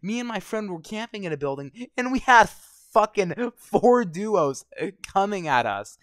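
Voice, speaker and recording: Young male gamer, slightly high voice, hoarse and angry, swearing nonstop over a dry close mic. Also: big pitch swings, unhurried, a bit bright, no echo. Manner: angry, swearing constantly, rambling. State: voice going hoarse. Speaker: gaming vlogger. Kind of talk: profane ranting commentary over gameplay.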